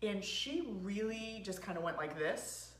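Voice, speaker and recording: A woman in her forties co-hosting a casual talk show, her voice a bit raspy today, telling stories and dropping low when confiding. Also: lively intonation, measured pace, fairly bright tone, slight background noise. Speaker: co-host. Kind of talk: casual chat. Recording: echoey room.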